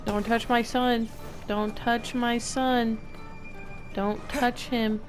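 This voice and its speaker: A woman's voice: a little high, little pitch movement, slow pace, soft background music. A young woman streamer giving casual, playful gameplay commentary in slangy exclamations. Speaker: game streamer.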